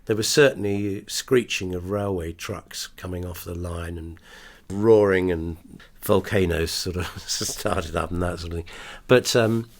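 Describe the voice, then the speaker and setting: A man's voice: low-pitched, a little slow, few pauses. A man reminiscing in an interview. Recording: faint background ambient noise.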